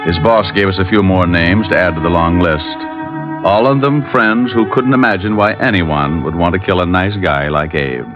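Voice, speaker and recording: An actor playing a laconic, hard-boiled detective, dry and terse, in an old radio crime drama. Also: male voice, low voice, moderate pace, over music, close to an everyday voice. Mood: matter-of-fact. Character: laconic hard-boiled male detective narrating his case. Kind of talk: 1940s-50s radio detective narration and dialogue. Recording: dull, band-limited old radio recording.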